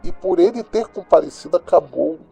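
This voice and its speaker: Guy playing cynical brazilian radio host doing crime gossip, mellow kinda rough voice, slangy, audio drama with music behind. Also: high-pitched, lively intonation, normal speed, heavily acted. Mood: chatty, musing. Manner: colloquial, sardonic, slangy. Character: cynical, gossipy male host of a small-town crime news show.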